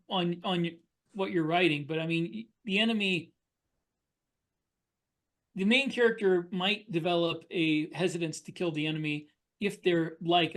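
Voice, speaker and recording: Man, slightly high pitch, halting, repeated words, casual podcast panelist. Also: a bit bright. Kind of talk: casual discussion.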